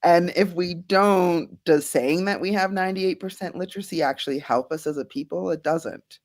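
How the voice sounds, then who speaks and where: A woman, a guest scientist, answering interview questions earnestly and thoughtfully, with frequent soft laughs. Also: fairly low pitch, moderate pace. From Bahamas.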